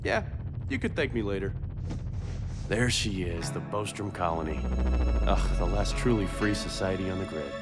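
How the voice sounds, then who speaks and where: A brash rogue with lively, swinging delivery. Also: male voice, low voice, normal speed, long pauses. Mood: cocky, breezy. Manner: cocky, casual, slangy. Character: brash, wisecracking male rogue rebel program. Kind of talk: dramatic video game dialogue. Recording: loud music over the voice. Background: loud music.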